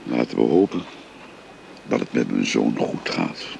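An actor playing an older father who gently confides his family history to a young woman, in a radio drama. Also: extremely low pitch, very animated, unhurried, gravelly, slight background noise, exaggerated voice. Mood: gentle, confiding. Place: recorded on location.

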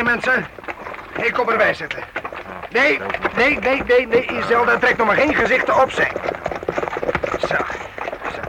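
A man's voice: very high-pitched, heavily acted. An actor playing a hearty, frank young nobleman knight in a period radio play. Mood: hearty, commanding. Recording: very noisy old radio drama recording.

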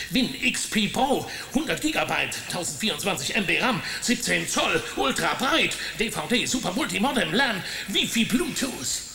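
Radio-drama client: officious, indignant man, higher-pitched with a creaky edge, roomy sound. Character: irritated, fussy male client and landlord of a detective. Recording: roomy, noisy drama scene with ambience.

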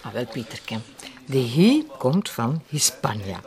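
A woman's voice: extremely low pitch, big pitch swings, slow, darkish tone, heavily acted. An actress with a Flemish accent playing a teasing, level-headed wife and mother in an audio drama. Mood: calm. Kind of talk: naturalistic radio drama dialogue.

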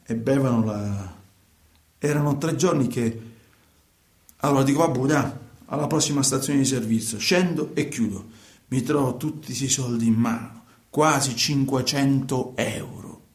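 Radio drama: male actor as coarse southern Italian trucker confessing, defensive and halting, mid-range voice, echoey room. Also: medium pitch, darkish tone, natural voice. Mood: self-justifying. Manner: halting, repetitive, defensive, colloquial. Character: guilty truck driver confessing, self-justifying, agitated, coarse. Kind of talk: radio theatre monologue, colloquial confession.

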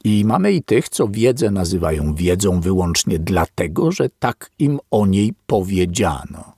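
Low gravelly man reading slowly. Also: sing-song, dark tone, no room sound, clean studio sound. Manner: slow, weighty and deliberate, like a sermon. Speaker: narrator. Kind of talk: solemn audiobook reading of a philosophical text.

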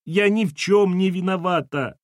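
A man's voice: medium pitch, sing-song, normal speed, a little mellow, breathy edge, dry recording, studio quality. A man voicing a flustered older housekeeper woman, self-pitying, lamenting and breaking off in sobs, in an audiobook. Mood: tearful. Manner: sobbing, lamenting, breaking off mid-sentence.

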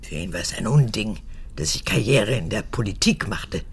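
Husky, capricious old woman. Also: rumbling low, animated, heavily acted. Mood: bitter, proud. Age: 80s. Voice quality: husky, cracked old voice, often falling to breathy whisper. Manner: theatrical, erratic, halting then snapping. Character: vain old mother, unrepentant former SS officer, capricious. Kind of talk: German radio drama acting.

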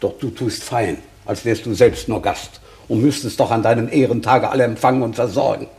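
A man's voice: mid-range voice, fairly quick, a little mellow, close to an everyday voice. An actor playing a fussy, hearty old shepherd father in a classical German radio drama. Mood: scolding.

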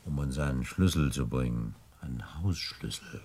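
An actor playing a suave, scheming crook in a 1960 German radio play, unctuous and patronisingly genial, with deliberate hesitations. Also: male voice, a bass voice, darkish tone, husky, heavily acted. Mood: sly, jovial. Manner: unctuous, chuckling, hesitant pauses, falsely genial.

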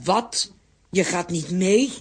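A woman playing a brisk, bossy, excitable aunt in a children's audio drama, speaking in an exclamatory manner. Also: fairly low pitch, slightly rough. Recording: noisy, clicky old audio recording.

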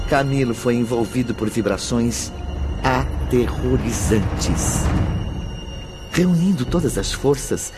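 A male actor as a solemn storyteller in a radio drama, with a mid-pitched voice and measured, theatrical delivery. He narrates a grim tale over prominent music. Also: lightly acted. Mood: grave.

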